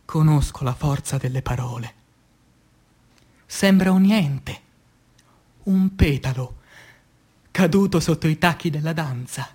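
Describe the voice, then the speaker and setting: A man reciting poetry as dramatic radio theatre, solemn and declamatory, breaking phrases with deliberate pauses. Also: a little high, a little mellow, slightly rough.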